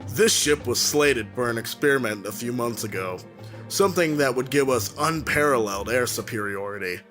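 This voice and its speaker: A man's voice: medium pitch, expressive, normal speed, fairly bright tone, close to an everyday voice. A man playing a decisive, plain-spoken navy captain in an audio drama. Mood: earnest, frustrated. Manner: blunt, commanding. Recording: music behind the voice.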